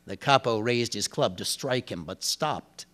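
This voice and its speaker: Man reading a literary story, measured and deliberate. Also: mid-range voice, expressive, fairly bright tone. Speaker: reader of a short story. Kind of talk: dramatic literary reading, first-person narration.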